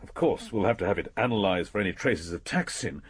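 An actor playing a courteous, dry, mildly sceptical English police inspector in a radio detective drama, explaining things in a matter-of-fact way. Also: male voice, on the low side, lively intonation, a little fast, slightly rough. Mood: businesslike.

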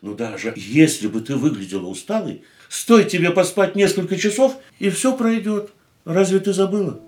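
A gentle, devoted man in a radio play, talking to someone in a soothing, tender way. His voice sits a little high for a man, and the recording carries a roomy echo. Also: lively intonation, moderate pace. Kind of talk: radio drama acting.

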